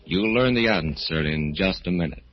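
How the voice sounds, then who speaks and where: An actor playing an ominous radio horror announcer and narrator, grave and dramatic, in 1940s style. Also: male voice, low voice, lively intonation, moderate pace. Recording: muffled old radio recording, music behind.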